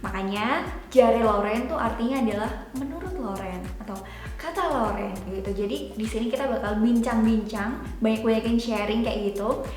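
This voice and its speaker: A woman's voice: a little high, moderate pace, darkish tone. A woman in her twenties from Java, Indonesia, chatting casually and informally as a YouTube vlogger, explaining as she goes. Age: 20s. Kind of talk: casual chatty vlog talk. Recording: echoey room with background music. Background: music.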